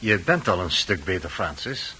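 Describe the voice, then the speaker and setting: An actor playing a composed, authoritative psychiatrist explaining things to a patient in a radio play, with a husky voice. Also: male voice, sits low, lively intonation, sharp tone, slight background noise, rough recording. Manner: explanatory, measured.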